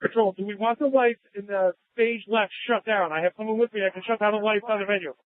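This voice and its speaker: A man's voice: high-pitched, fast talker, no echo, phone-quality sound. A male police officer making urgent radio reports, heard through a police scanner. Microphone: two-way police radio.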